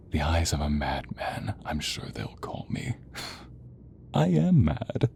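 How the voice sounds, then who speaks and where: A man playing a sadistic, gloating monster hunter in an audio role play, with slow menace, breathy whispers and sharp commands. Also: fairly low pitch, exaggerated voice. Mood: mad, seductive menace. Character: sadistic male monster hunter, mad, theatrical, gloating villain.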